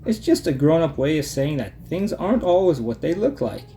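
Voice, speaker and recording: A man voicing a patient, gently firm mother bear who explains things in a children's storybook. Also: fairly high pitch, sing-song, normal speed, soft background music, pitched above the performer's own voice. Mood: calm. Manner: patient, instructive. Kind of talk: children's storybook read-aloud.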